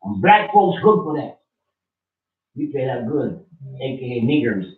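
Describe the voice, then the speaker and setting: A man's voice: medium pitch, very animated, unhurried. A man rants with fire and insistence, hammering the same points again and again. He sounds far from the camera, so his voice comes through distant and echoey. The recording of the small room is dull and muffled. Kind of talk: impassioned rant. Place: small study room with bookshelves, indoors.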